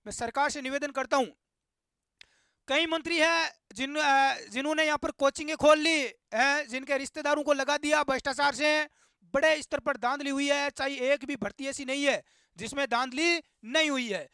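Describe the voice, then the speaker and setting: A man's voice: very high voice, steady tone, normal speed, sharp tone, no room sound, studio quality. A man giving impassioned, indignant commentary and urging his viewers on in an exhortative, repetitive way. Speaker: YouTube host.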